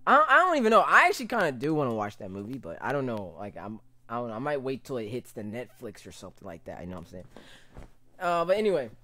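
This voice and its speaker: Animated young American man, jokey reactor. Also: mid-range voice, fast. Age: young adult. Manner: jokey, animated, slangy, often laughing. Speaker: reaction video host. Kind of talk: casual reaction commentary. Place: small room, indoors. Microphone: desk condenser mic with pop filter.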